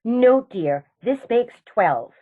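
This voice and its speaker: A woman's voice: fairly high pitch, big pitch swings, slow pace, heavily acted. Woman acting prim, lilting elderly aunt, muffled recording. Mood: firm, unbothered. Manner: prim, gently chiding, unruffled. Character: genteel elderly aunt, serenely matter-of-fact and scolding. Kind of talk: stage comedy radio theatre acting.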